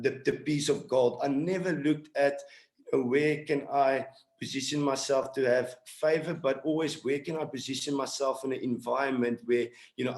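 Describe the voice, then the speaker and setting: A man from South Africa with an Afrikaans accent, a native Afrikaans speaker, giving an earnest, emphatic motivational sales pitch as a team leader on an online call. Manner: earnest, exhorting, repetitive and emphatic. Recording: roomy, echoey online call audio.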